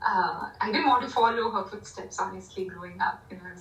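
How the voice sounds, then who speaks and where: A woman's voice: medium pitch, a little fast, vocal fry, a bit bright. A woman from India, a university Hindi lecturer, answering interview questions thoughtfully with many "you know" fillers. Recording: echoey room, distant from mic.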